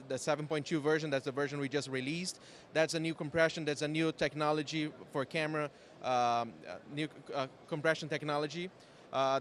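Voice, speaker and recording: Brief: Brazilian-accented man, slightly high voice, halting, founder interviewed at a trade show. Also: a bit bright. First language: Portuguese. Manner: halting, many restarts. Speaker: company founder and software director. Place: exhibition stand at trade show.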